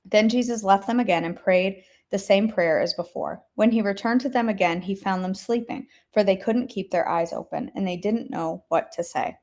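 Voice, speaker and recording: Wheezy woman devotional host, coughs and clears her throat, chatty and direct with listeners, reads scripture aloud. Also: medium pitch, normal speed, hardly any pauses, a little mellow. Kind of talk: casual chat then Bible reading aloud.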